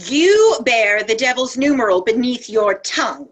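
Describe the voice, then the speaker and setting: A woman's voice: fairly high pitch, sing-song, unhurried. A woman playing a hostile medieval accuser in a dramatic script read, mocking and accusatory. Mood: accusing, mocking.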